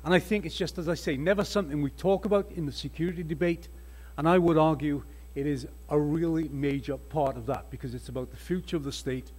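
Husky Welsh-accented older man lecturing. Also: fairly high pitch, fast, darkish tone. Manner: earnest, emphatic lecturing with restarts. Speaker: panel speaker. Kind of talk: conference panel talk with slides. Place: conference hall panel table. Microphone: table microphone.

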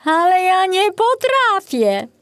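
A woman's voice: extremely high pitch, very animated, slow pace, no room sound, voice pushed up, exaggerated voice. A woman voicing an anxious, flustered young male forester in a children's audiobook, plaintive and exclamatory. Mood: whining dismay.

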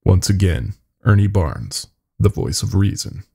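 A man's voice: low-pitched, expressive, a little slow, creaky, mellow, breathy edge. A man narrating a scary story in the first person as a podcast storyteller, with dry, wry asides.

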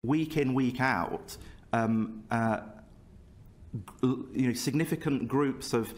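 A man giving halting, hesitant testimony to a committee in a parliamentary room, stumbling with frequent ums and repeated words. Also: medium pitch. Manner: halting, full of ums and repetitions.

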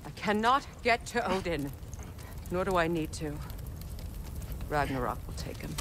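An actress playing a bitter, vengeful warrior goddess in a video game, with a stern, cold, clipped delivery. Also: female voice, fairly low pitch, expressive, unhurried, noisy background. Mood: bitter, resigned. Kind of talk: video game cutscene acting.

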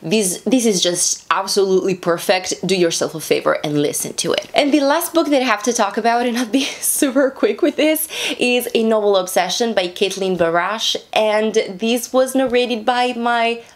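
A woman in her thirties chatting casually to camera about books she has read, animated and talking with her hands, recorded indoors on a camera in front of her. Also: a little high, normal speed. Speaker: book vlogger. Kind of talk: casual book review chat. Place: small indoor room, seated at camera.

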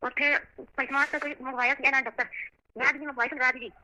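Sharp-voiced woman on the phone. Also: sits high, little pitch movement, fast. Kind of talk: phone conversation, brief answers. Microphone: telephone. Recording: lo-fi phone line, faint noise.

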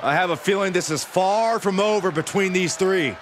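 Guy doing animated wrestling commentary, high voice, reactive and earnest, really loud arena noise. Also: moderate pace, a crowd in the background, natural voice. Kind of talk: sports commentary.

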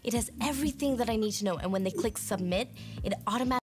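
A woman's voice: a little high, rapid-fire, lightly acted. Actress as chatty office woman in an ad, sure of herself, faint music behind. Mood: pleased. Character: chatty, self-assured woman office worker in an advert. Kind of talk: scripted advert dialogue.

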